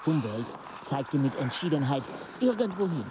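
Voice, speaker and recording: An actor playing a weary, anxious mountain traveller in a radio play, speaking and narrating hesitantly. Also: male voice, mid-range voice, sing-song, natural voice. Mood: grave, uneasy. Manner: slow, hesitant, deliberate delivery. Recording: noisy, slightly muffled outdoor soundscape.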